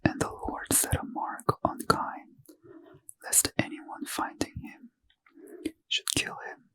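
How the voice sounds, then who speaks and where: A man softly whispering a Bible reading in ASMR style, close to the mic. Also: no room sound, rough recording. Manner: slow soft whisper, unvoiced throughout. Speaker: reader.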